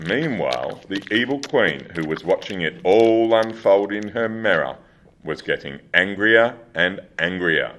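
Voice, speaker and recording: A man's voice: low voice, lively intonation, unhurried, fairly bright tone, slight background noise, rough recording. A man narrating a scripted children's fairy-tale radio play.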